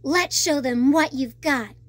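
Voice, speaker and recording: A woman's voice: sits high, slow. A woman voicing a young mermaid princess in a storybook, plucky, kind and coaxing. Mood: optimistic.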